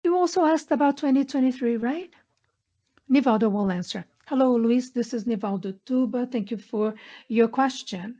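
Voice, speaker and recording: Through slightly dull, lo-fi conference audio comes a woman with a fairly high voice and a soft, mellow tone. She interprets a business earnings call phrase by phrase, in a measured way with pauses inside sentences.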